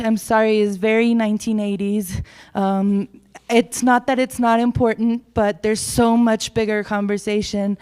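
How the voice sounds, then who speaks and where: An official speaks from the conference floor. Her voice is smooth and mellow, with little rise and fall. She makes her point bluntly and critically. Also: medium pitch. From Mexico. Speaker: city government drug-prevention official. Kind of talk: question from the floor. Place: conference room.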